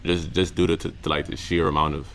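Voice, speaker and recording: A young man with a very low, deep voice talks to his camera about what he has learned. His speech is casual and slangy, full of halting restarts and repeated words. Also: level tone, fairly bright tone, a little hiss or hum. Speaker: content creator. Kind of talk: reflective casual vlog monologue.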